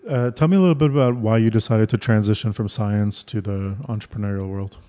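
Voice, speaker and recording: A man's voice: lowish voice, steady tone, quick pace, mellow. A man hosting a podcast interview, reading a polite scripted introduction and then asking short questions. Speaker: podcast host, editor.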